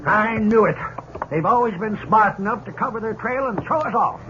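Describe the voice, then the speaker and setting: A man playing an excitable, plain-spoken western sheriff in a 1950s radio drama, giving brisk orders with folksy exclamations. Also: high-pitched. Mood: exasperated. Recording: dull, noisy old radio recording.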